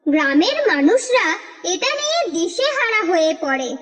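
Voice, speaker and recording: High, lively female storyteller. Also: moderate pace. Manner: steady, expressive storytelling. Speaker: narrator. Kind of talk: scripted storybook narration. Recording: reverberant with music underneath.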